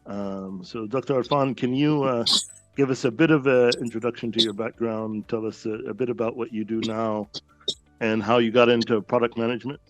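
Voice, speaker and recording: A man's voice: medium pitch, fairly even intonation, fairly quick, lo-fi sound, faint music behind. A male interview host casually introducing his guest, rambling a little with many "um"s and "uh"s. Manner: friendly, rambling, lots of "um" and "uh".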